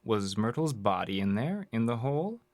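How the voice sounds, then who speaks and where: An actor playing a mysterious, ominous interrogator in a radio drama, gravelly and husky, questioning with deliberate insistence. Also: male voice, medium pitch, big pitch swings, darkish tone, dry recording. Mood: probing. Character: ominous, probing male interrogator, mysterious and controlled.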